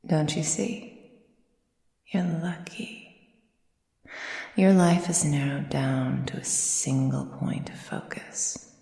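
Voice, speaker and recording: A woman playing a possessive, condescending vampire mistress in an intimate ASMR roleplay, speaking formally and measuredly and often slipping into breathy whispers. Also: deep voice, slow pace, pauses often, warm tone, exaggerated voice. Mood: cold, exasperated. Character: possessive, condescending female vampire mistress tending her captive thrall. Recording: echoey room with long reverb.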